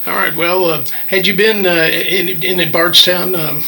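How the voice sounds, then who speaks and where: Bright-voiced man hosting radio. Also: high voice, steady tone, normal speed, gravelly, a little hiss or hum. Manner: casual, curious questioning. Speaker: radio co-host. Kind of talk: radio interview. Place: radio studio.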